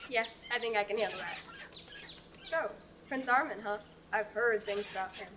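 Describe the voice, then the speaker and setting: A woman's voice: medium pitch, normal speed, bright, forceful tone, a little hiss or hum, lightly acted. A young woman in a student radio drama, playing a dry-witted farm woman. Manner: wry, dry humor.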